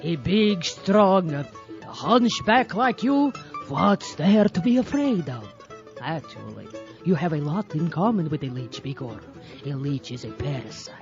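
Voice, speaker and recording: A man's voice: on the high side, big pitch swings, a little slow, lo-fi sound, music in the background. Man playing a theatrical, campy horror host. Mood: sardonic. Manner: theatrical, sardonic. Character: pompous campy mad-scientist doctor hosting horror movies. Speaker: horror show host and narrator. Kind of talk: campy mock-horror narration.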